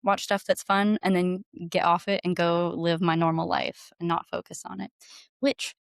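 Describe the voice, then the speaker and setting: A woman with a lowish voice talks as a guest in a casual interview. Her manner is enthusiastic and informal, peppered with "like". The sound is very dry, with no room echo. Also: little pitch movement, moderate pace, gravelly, clean tone. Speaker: podcast guest, singer-songwriter.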